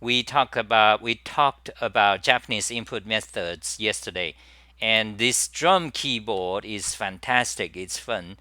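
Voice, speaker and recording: A middle-aged man chats casually on a live stream, stopping and restarting his sentences often. His voice is bright and forward, and the sound is dry and close, with almost no room around it. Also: lowish voice, a little slow, dry recording. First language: Chinese. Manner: rambling with many restarts and fillers. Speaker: livestream host.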